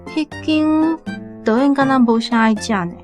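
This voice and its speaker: An actress as a fretful colleague, highish voice, music behind. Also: female voice, moderate pace, close to an everyday voice. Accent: Taiwanese. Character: fretful but accommodating female office colleague. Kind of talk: radio drama acting.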